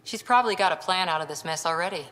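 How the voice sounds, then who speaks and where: A tough young lieutenant in a sci-fi game cutscene. Her voice is on the low side and earnest, carried in a long reverb. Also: very fast, pushed and bright. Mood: admiring, flustered. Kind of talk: video game cutscene dialogue.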